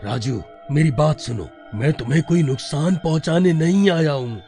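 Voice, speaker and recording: A man playing the pleading, distressed ghost of a murdered father in an animated story, dramatic and halting. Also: fairly high pitch, soft background music, natural voice. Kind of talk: Hindi animated story acting.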